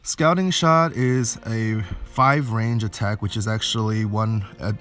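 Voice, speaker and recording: A man's voice: on the low side, fairly even intonation, unhurried, a bit bright. A man giving a casual, explanatory game walkthrough, thinking aloud as he goes. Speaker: gaming video host. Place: indoors, voice-over on game footage. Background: game music.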